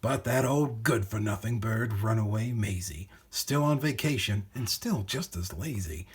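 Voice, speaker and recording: Male children's storybook narrator: theatrical, rhythmic verse reading, lively intonation, slightly rough higher voice. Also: unhurried.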